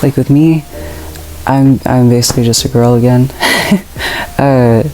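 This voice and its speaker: A young woman with a deep voice talking casually and reflectively in a personal vlog, with lots of fillers. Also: steady tone, a little slow, slight background noise, low-quality recording. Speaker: vlogger. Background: soft background music.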